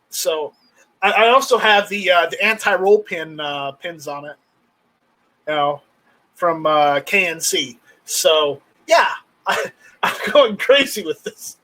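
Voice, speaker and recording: A man hosting a livestream, chatting casually and haltingly, often repeating words as he rambles along. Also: high-pitched, animated, bright, forceful tone, rough recording. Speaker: livestream host. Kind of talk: casual unscripted chat about gear.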